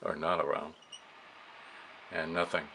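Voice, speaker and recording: A man giving a casual hands-on demonstration as a radio operator, halting and muttering to himself as he works. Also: rumbling low, level tone, pushed and bright. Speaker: amateur radio operator demonstrating vintage gear. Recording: clearly noisy room recording.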